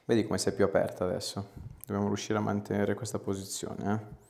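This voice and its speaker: A man in his thirties working as a chiropractor, giving calm, casual, reassuring instructions and short questions to a patient. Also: fairly low pitch, fairly quick, vocal fry. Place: small clinic treatment room.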